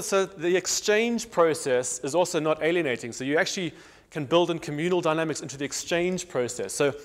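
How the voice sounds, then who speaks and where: A man in his thirties with a South African accent, giving a public lecture, thinking aloud with many restarts and fillers. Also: on the high side. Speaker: guest speaker. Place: large hall with marble wall. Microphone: lapel. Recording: long hall reverb.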